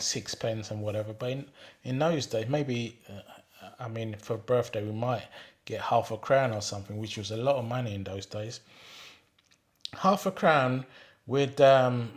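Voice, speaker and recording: An older man in his sixties, telling his life story at an easy pace. He hesitates often, filling pauses with ums and going back to rephrase. His voice sits in an ordinary middle register for a man. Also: medium pitch. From England. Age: 60s. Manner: unhurried, hesitant with frequent ums and restarts. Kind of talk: reminiscing monologue reading out questions.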